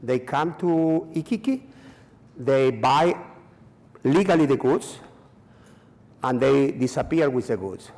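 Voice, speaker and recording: A man's voice: medium pitch, animated, darkish tone. Man speaking hesitant in a hall. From Chile. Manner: halting non-native English with many fillers and repetitions. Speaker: conference speaker. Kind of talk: conference presentation. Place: conference hall. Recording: long reverb of a hall.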